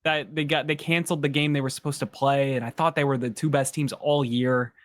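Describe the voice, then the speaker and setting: A man chatting as a guest on a sports podcast, easygoing and quick to laugh. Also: mid-range voice, steady tone, a little hiss or hum. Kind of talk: casual sports podcast chat.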